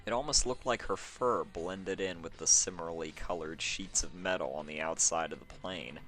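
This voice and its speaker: A man's voice: deep voice, normal speed, fairly bright tone, soft background music. A man with a sore throat reading fan fiction as a scripted story narration.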